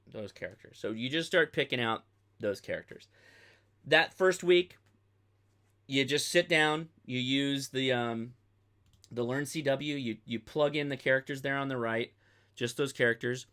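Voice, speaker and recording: Man, 30s, bright forward voice, medium pitch, casual tutorial host, rambling, thinking aloud. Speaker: host of a ham radio channel.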